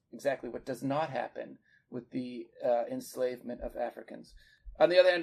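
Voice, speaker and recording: A man with a noticeable creak in his voice talks through literature as a podcast co-host. His pitch sits in an ordinary middle range. He is analytical and measured, building his explanation point by point. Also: darkish tone. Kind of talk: poetry discussion, explanatory.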